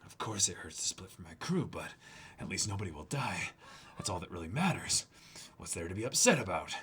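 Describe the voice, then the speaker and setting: A man's voice: low voice, big pitch swings, fairly quick, a bit bright, a little hiss or hum, pitched below the performer's own voice. A man playing an earnest, self-sacrificing, lovesick young pirate cook in a dramatic comic reading. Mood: resigned. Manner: earnest, melodramatic.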